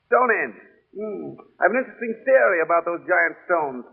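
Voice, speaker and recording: An actor playing a brisk, eccentric, self-assured gentleman detective in 1930s radio style, wry and theatrical, with a husky, gravelly voice. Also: high-pitched, animated, exaggerated voice. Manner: repeats phrases, theatrical, wry. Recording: muffled, phone-like 1930s radio recording.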